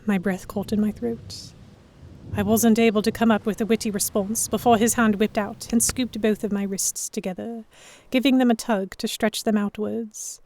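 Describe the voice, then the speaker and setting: A woman's voice: medium pitch, fairly even intonation, moderate pace, a little mellow. A woman narrating an audio drama in the first person and acting out the dialogue. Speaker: narrator and lead actor.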